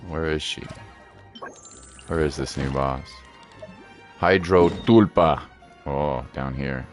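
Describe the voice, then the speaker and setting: A man's voice: extremely low pitch, slow, soft background music. A man giving casual, playful gameplay commentary as a streamer, often repeating his phrases. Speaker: game streamer.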